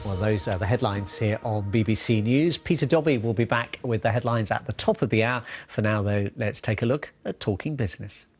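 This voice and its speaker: British guy reading the news headlines, formal and measured, music playing clearly behind him. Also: lowish voice. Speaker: news presenter. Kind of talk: scripted headline newsreading.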